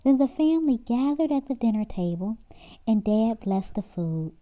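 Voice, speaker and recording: A woman reading a children's story aloud as an expressive narrator. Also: fairly high pitch, normal speed, muffled recording.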